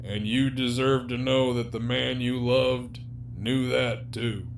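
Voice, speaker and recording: A man's voice: mid-range voice, flat delivery, takes it slowly, gravelly. A man acting in an audio drama as a jaded, sardonic, despairing prisoner villain with a profane, wry, teasing drawl. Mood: sincere, regretful. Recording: faint background hum and noise.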